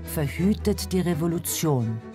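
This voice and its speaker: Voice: medium pitch, expressive, a little slow. Scripted documentary narrator over music.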